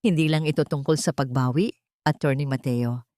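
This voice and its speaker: Woman narrator playing a resolute wronged filipina, defiant and measured, story drama, dry low voice. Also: mellow, no echo, close to an everyday voice. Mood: cold resolve. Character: wronged heiress turned powerful, resolute, steely woman.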